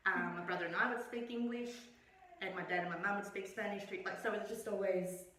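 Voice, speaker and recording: A middle-aged woman, chatting as an interview guest in a relaxed, hesitant way with frequent ums. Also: mid-range voice, fast, slight background noise. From Australia. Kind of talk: casual conversational interview. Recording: echoey room, mic at a distance.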